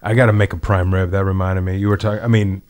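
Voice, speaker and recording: A man's voice: low-pitched, level tone, a little mellow. Upbeat male radio host. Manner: upbeat, quick reactions, leads the show. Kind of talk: casual radio chat.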